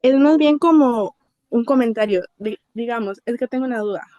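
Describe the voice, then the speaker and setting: Audience woman, stammering question, highish mellow voice, dry. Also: dry recording, dull audio. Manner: halting, stammering, many fillers. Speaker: audience member asking a question.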